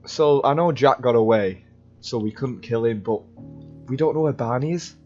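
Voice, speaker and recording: A man's voice: medium pitch, moderate pace, darkish tone, soft background music. Young man, muffled gaming mic. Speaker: gamer in roleplay series. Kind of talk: casual improvised game roleplay chat.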